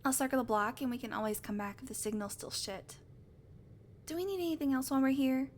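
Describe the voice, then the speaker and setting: A woman's voice: high-pitched, fast, a little hiss or hum, lightly acted. A woman playing a sarcastic, teasing vampire who swears casually, in a conversational audio roleplay. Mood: wry, casual. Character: sardonic female vampire, unrepentant predator, teasing. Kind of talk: audio roleplay acting, conversational monologue to listener.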